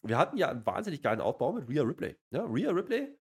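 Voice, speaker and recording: A man's voice: fairly high pitch, very animated, fast, slightly rough. A man co-hosting a wrestling review in casual, unscripted banter, with a sarcastic, mock-enthusiastic way of recapping. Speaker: wrestling show review co-host.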